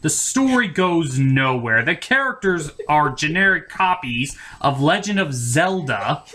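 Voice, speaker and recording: A film reviewer performs a comedic puppet show review with a very lively, swinging pitch. The delivery is crude and mock-exasperated, tipping into rants. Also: measured pace.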